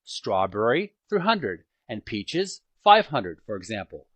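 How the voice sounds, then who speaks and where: A man reading a book aloud as an amateur narrator, with emphatic, dramatic phrasing and frequent pauses. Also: fairly low pitch, big pitch swings, fairly bright tone, no room sound. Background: music.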